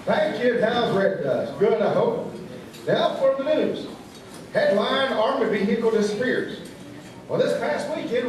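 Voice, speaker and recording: An actor playing an earnest, folksy small-town radio newsreader, delivering parody local news in a mock-serious way. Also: male voice, high voice, a little mellow. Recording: echoey stage recording, distant mic, noise.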